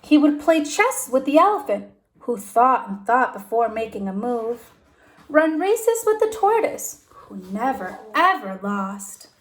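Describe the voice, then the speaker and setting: Female teacher for children's read-aloud: high voice, very lively intonation, deliberate expressive storytelling. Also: a little hiss or hum, poor audio.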